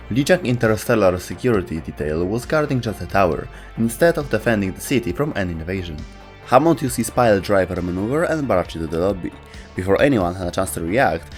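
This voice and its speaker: A man with a lowish voice hosts a lore show, reading a prepared script as narration. Soft music runs behind his words. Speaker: host of a video game lore show.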